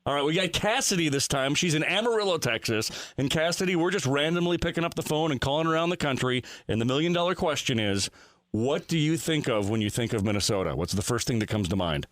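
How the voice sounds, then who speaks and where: A man's voice: fairly high pitch, lively intonation, fast talker, fairly bright tone, no room sound. A male radio host chatting with callers in an upbeat, joking way from a studio. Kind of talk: casual morning radio chat.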